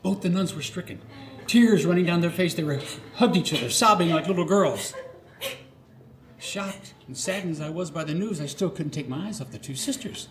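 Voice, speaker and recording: A man's voice: a little high, moderate pace. A middle-aged man performing a scripted autobiographical monologue live, theatrical and earnest, delivered phrase by phrase. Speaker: storyteller. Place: live radio theatre stage. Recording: roomy live theatre, clear background noise.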